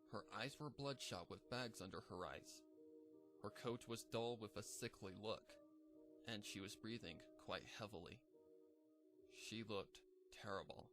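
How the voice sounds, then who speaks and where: A man narrating a dark fan fiction story in a measured, somber reading. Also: fairly low pitch. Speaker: fanfic narrator.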